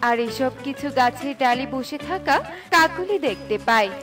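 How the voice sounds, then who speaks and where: A woman's voice: high voice, lively intonation, normal speed, over music. A woman reading scripted fairy-tale narration for a children's cartoon.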